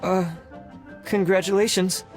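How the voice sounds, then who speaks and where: A man's voice: sits high, flat delivery, measured pace, faint music behind. A man voicing a timid, agreeable candidate in a video game, hesitant and meek. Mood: unsure, meek.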